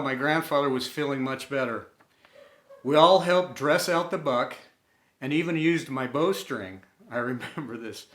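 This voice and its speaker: Male storyteller, mid-pitched, unhurried and deliberate, scripted inspirational narration, audible room echo. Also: a bit bright. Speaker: storyteller and host. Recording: roomy, echoing room sound.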